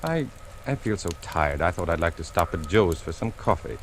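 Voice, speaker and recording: An actor playing a mild, secretive husband in the soothing, evasive style of 1940s radio drama. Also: male voice, sits low, sing-song, moderate pace. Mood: mild, coaxing. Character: secretive husband, outwardly mild, quietly brooding. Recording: noisy old radio broadcast recording.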